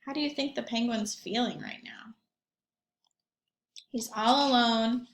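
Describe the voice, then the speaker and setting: High-voiced woman teacher in an echoey room. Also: measured pace. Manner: animated storytelling, addresses young children with questions. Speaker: primary school teacher reading aloud to pupils. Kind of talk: expressive children's story read-aloud with chatty asides.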